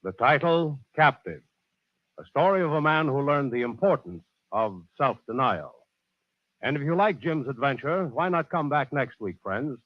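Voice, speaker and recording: An actor as a worldly man introducing adventure tales in 1940s radio style. He speaks in a folksy, measured way at a medium pitch. The old broadcast sounds dull and close. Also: lively intonation, no echo, lightly acted. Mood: dramatic, genial. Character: genial, worldly adventurer telling his stories. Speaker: radio show host and narrator.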